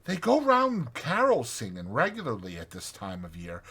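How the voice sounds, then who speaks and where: A man's voice: medium pitch, wildly swooping pitch, moderate pace, sharp tone, slightly rough, exaggerated voice. A man voicing a gloomy, kindly little mole in a storybook, with a plaintive, moaning manner. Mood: fond, proud.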